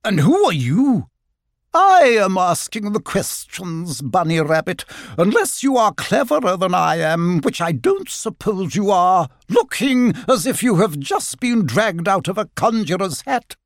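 A man's voice: sits high, very animated, a little slow, dry recording, clean studio sound, pitched above the performer's own voice, heavily acted. A man voicing a vain, haughty female otter from a storybook, imperious and theatrical. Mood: haughty, mocking. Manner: haughty, grandly self-admiring, questioning sharply. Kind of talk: storybook character voice.

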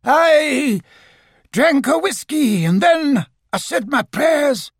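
Storybook ghost of a late uncle: very high, slow, drawn-out and menacing, swooping widely. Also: male voice, animated, dry recording, studio quality, full character voice. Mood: hesitant. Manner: drawn-out, wailing warnings. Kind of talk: storybook character voice.

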